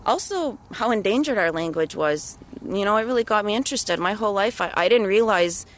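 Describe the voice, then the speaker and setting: A woman's voice: medium pitch, animated, fairly quick. A woman, talking casually in an interview, with lots of fillers. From Kahnawake, Quebec, Canada. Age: 30s. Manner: conversational, many "you know" and "kinda" fillers.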